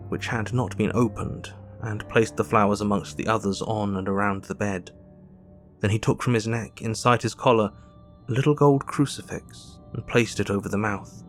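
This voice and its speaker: An actor playing a grieving Victorian doctor who recounts his diary in formal, measured narration for an audio drama. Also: lowish voice, fairly even intonation, faint music behind, lightly acted. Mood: somber, grave. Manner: formal, measured literary storytelling.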